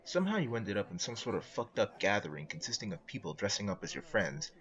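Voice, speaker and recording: A man narrates a comic script in a wry, dry way. His voice is low with very varied intonation, and the recording is a bit dull. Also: very animated, fast, a bit bright.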